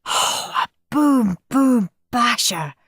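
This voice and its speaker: A woman's voice: high-pitched, slow pace, no echo, studio quality, pitched above the performer's own voice, full character voice. A woman voicing a mischievous, greedy young boy in a storybook reading. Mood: gleeful shouting. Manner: excited, scheming.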